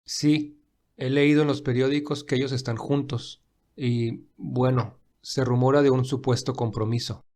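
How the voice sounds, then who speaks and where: A caring gentleman in love acted by a man speaks politely and with measured sincerity. His voice sits at an average male pitch, suited to the gentle hero of an audio romance. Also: steady tone, lightly acted. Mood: hesitant. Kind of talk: dramatized romantic fan fiction.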